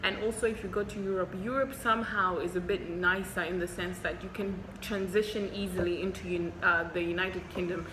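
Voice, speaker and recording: A young woman doctor from Zimbabwe, a Shona speaker, talks through viewer questions in a relaxed, candid way with plenty of ums. Her voice sits low, and she records in an echoey hospital room with steady noise behind her. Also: brisk, few pauses. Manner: chatty and candid, frequent ums. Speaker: doctor and vlogger. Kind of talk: casual Q&A vlog. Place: hospital, indoors.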